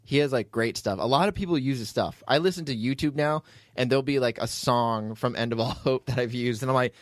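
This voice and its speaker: A man's voice: mid-range voice, a little fast, no echo. A man hosting a podcast, chatting casually and explaining things in a rambling way as he plugs shows. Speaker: podcast host and producer.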